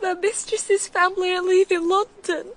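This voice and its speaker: A woman's voice: very high-pitched, little pitch movement, normal speed. A woman playing a timid, distressed young servant girl in a radio drama. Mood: tearful, timid.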